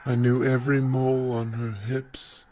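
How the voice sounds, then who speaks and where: An actor playing a disgusted, world-weary intellectual in an audio play, declaiming a monologue in halting, broken phrases. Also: male voice, medium pitch, little pitch movement, dark tone, a little hiss or hum, close to an everyday voice. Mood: intense, confessional. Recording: music under the voice.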